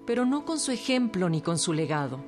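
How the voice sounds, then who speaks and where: A woman's voice: on the low side, sing-song, brisk. A woman reading scripted narration for a radio history documentary. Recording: loud string music bed.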